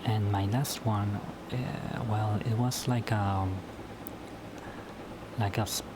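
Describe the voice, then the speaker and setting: Slow-talking man, Spanish native speaker. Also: fairly low pitch, fairly even intonation, very noisy. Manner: halting, repeats words and restarts often. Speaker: YouTuber. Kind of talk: casual solo vlog talk. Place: at home late at night.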